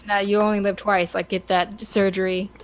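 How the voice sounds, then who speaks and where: A woman guest on a casual podcast, talking in halting, self-repeating phrases. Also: mid-range voice, flat delivery, crowd noise, low-quality recording.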